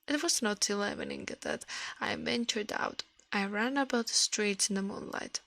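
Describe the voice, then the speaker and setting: A woman reading a story aloud in ASMR style, in a soft breathy whisper, halting now and then over the words. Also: mid-range voice, wildly swooping pitch, no echo. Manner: halting reading with stumbles and self-corrections.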